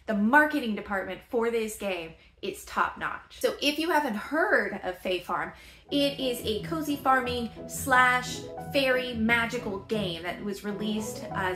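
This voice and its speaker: A woman in her thirties chatting through a casual video game review from her living room, animated and laughing often. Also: on the high side, roomy sound. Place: home living room, seated in a chair.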